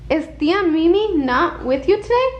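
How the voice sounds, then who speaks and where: A woman's voice: extremely high pitch, expressive, normal speed. A woman reading a storybook, voicing a hearty, generous female street food seller who calls out, in a roomy, slightly muffled recording. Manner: calling out, hearty. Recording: roomy, muffled, some background noise.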